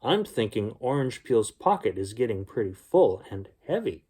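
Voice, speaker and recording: A man with a soft-edged, mellow tone reads a bedtime story for children. His delivery is gentle and unpolished, moving between storybook reading and informal talk to his young listeners. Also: lowish voice, very animated, normal speed, slightly rough. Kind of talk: storybook read-aloud with casual asides.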